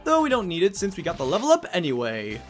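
A man's voice: high-pitched, huge pitch swings, fast talker. A man giving casual gameplay commentary as the host of a let's play. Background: game music.

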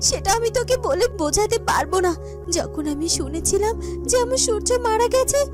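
A woman playing a devoted, emotional young heroine in a melodramatic fairytale cartoon, earnest and full of feeling. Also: very high-pitched. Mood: joyful. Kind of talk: melodramatic Bengali cartoon voice acting. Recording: music behind the voice.

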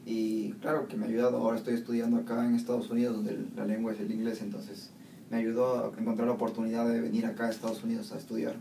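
A young man answering interview questions hesitantly, pausing to search for words, in a small classroom. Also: medium pitch, monotone, vocal fry, mellow. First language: Spanish. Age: young adult. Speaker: student interviewee. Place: small classroom with plain walls. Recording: distant echoey room, faint noise.

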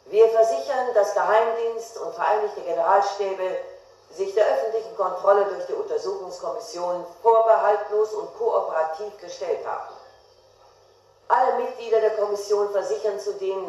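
An actress playing a solemn official who reads a formal commission declaration in a science fiction radio drama, in a measured manner. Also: female voice, medium pitch, a little mellow, lightly acted. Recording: very echoey, distant voice.

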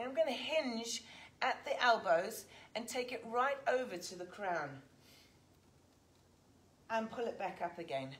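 A woman's voice: a little high, fairly bright tone. English woman coaching a gym workout. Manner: upbeat, cueing reps while exercising. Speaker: fitness instructor. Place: large indoor gym. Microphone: fixed camera a few metres off.

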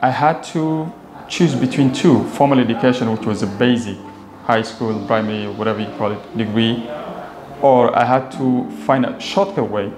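A man's voice: mid-range voice, moderate pace. A young Somali man with a Somali accent recounts his own experiences as an interview guest. His delivery is casual and conversational, and the room adds noticeable echo with faint noise behind him. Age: young adult. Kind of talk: casual personal storytelling in an interview. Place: indoor office lounge with glass walls.

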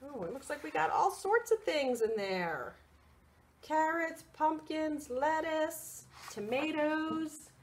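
Female children's librarian for a picture-book read-aloud: higher register, highly animated, expressive, prompts little listeners with questions. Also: takes it slowly.

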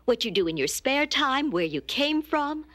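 An actress playing a brisk young TV news reporter in a cartoon, businesslike and easily exasperated. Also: female voice, sits high, big pitch swings, fairly bright tone, no room sound. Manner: polite but insistent, businesslike. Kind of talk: cartoon voice acting.

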